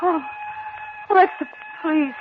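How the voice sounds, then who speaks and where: A fragile young heroine in a 1940s radio melodrama. Her very high voice is halting and breathless, anxious and tender. It comes through a muffled, noisy old broadcast. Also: huge pitch swings, heavily acted. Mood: weak, pleading.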